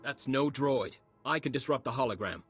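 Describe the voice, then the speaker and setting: Husky, anxious cyborg henchman. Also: mid-range voice, normal speed, a bit bright. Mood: alert, uneasy. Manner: anxious, clipped, machine-like status reports. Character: nervous male cyborg henchman, pleading, half machine. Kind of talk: video game voice acting. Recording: music under the voice.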